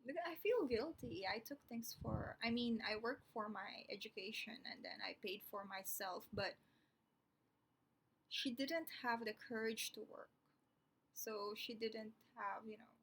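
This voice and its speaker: A woman's voice: on the high side, measured pace, a bit bright, slight background noise, poor audio. A young woman from the Philippines with a Filipino English accent, explaining things in a chatty, rambling vlog full of ums and asides. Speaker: vlogger.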